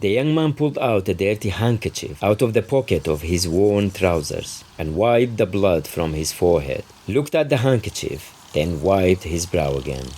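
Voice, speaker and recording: A man's voice: sits low, lively intonation, normal speed, hardly any pauses, a little mellow. A man reading literary fiction aloud as its author, in scripted narration. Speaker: author reading from his novel.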